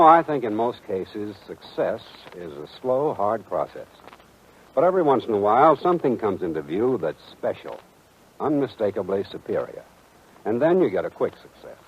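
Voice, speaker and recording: A man announcing a scripted 1940s radio commercial with polished, emphatic salesmanship. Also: fairly low pitch. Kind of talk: 1940s scripted advertising pitch. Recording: dull, noisy 1940s radio recording.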